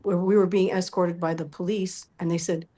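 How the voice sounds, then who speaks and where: A middle-aged woman telling animated personal stories as an interview guest, often acting out what people said. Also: fairly low pitch, normal speed. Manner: acts out quoted dialogue. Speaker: interview guest, musical performer.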